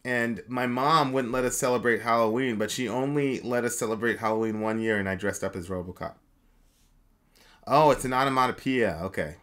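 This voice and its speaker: A man from Jamaica, co-hosting a casual live chat, animated and laughing often as he reads comments. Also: medium pitch, a little fast. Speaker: co-host.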